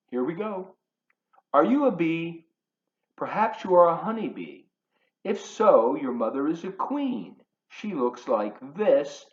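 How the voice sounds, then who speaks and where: Male children's storyteller, playful and animated in intonation, addressing kids directly. Roomy, slightly dull home-video sound. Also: a little high. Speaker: volunteer children's book reader. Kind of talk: read-aloud of a picture book for young children, with asides. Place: living room at home.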